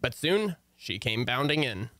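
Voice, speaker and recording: A man's voice: medium pitch, big pitch swings, a little slow, a bit bright, a little hiss or hum. A man playing an exasperated, sardonic husband who narrates his own marital troubles in an old-time radio comedy. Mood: wry narrating.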